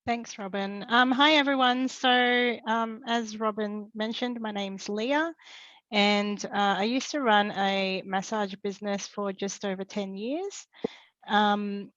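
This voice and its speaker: A woman's voice: medium pitch, fairly even intonation, slow, fairly bright tone, no room sound. A woman who runs a small business, talking about her own story in a chatty way with lots of fillers. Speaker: panelist, small business owner. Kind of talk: casual personal account. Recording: dry, dull lo-fi audio.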